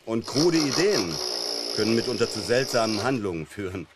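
An actor playing a self-assured male psychotherapist in a German radio crime drama, measured, condescending and dryly ironic. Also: lowish voice, animated, heavy background noise, close to an everyday voice. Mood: smug, deliberate.

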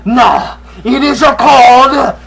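A man playing a shrill, gravelly luchador boaster. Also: extremely slow, very noisy, exaggerated voice. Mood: boastful. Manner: broken English, shouty theatrical boasting. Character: boastful masked male luchador wrestler hosting a game review. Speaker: game reviewer. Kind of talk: comic game review in character.

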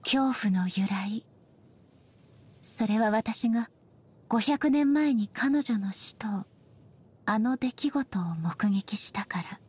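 A woman voicing a dignified, ageless shogun goddess in a game, speaking softly in formal, measured, polite phrases. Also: a little high, slightly rough, heavily acted. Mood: wistful, sincere. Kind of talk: scripted game character voice lines.

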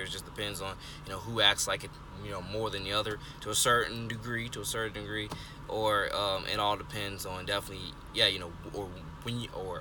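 A man's voice: fairly low pitch, noisy background. A twenty-year-old man talking earnestly to his phone from inside a parked car, rambling with lots of fillers and restarts. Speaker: vlogger. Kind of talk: rambling casual monologue. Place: parked car, indoors of vehicle. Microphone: phone held close, selfie framing.

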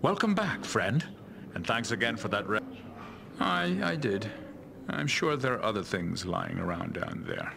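A man voicing a gruff but friendly, slightly shady townsman in a fantasy video game, with a gravelly voice. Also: fairly low pitch, sing-song, a little slow, full character voice. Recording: noisy tavern ambience behind.

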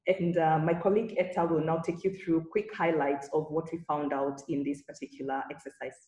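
A woman from Kenya with a low, mellow voice presents research on a panel in a measured, deliberate way. The sound carries a roomy echo around her. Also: steady tone.

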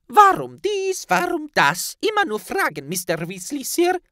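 Squeaky little house elf, super high and swoopy, fussy scolding servant, fantasy audiobook. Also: male voice, huge pitch swings, unhurried, sharp tone, no room sound, clean studio sound, heavily acted. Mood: exasperated. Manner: scolding, servile third-person address. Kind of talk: audiobook character voice.

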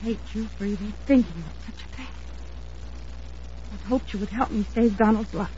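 A woman's voice: mid-range voice, normal speed, mellow, slight background noise, natural voice. An actress playing an anxious, insistent young woman in an old-time radio drama, speaking in an earnest, emphatic, dramatic manner. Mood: hurt, urgent. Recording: dull lo-fi vintage radio recording.

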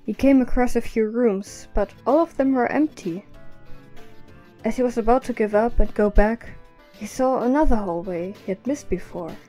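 Casting: female storyteller for audio drama narration; relaxed, warm tone, slightly high, light music bed. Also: normal speed.

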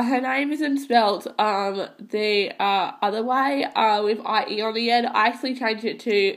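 A teenage girl with a head cold and a stuffy, tired voice, chatting casually about her pet game with many hesitations. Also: fairly high pitch. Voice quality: hesitant, many ums and restarts. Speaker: gaming channel host. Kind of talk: casual gameplay commentary. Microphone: phone filming a handheld console screen.

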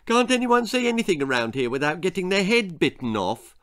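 A man's voice: fairly high pitch, animated, moderate pace, fairly bright tone, pitched above the performer's own voice. A man voicing an oblivious young upper-class boy who chatters inanely and without stopping in a storybook tale. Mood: sulky. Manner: incessant, inane, interrupting.

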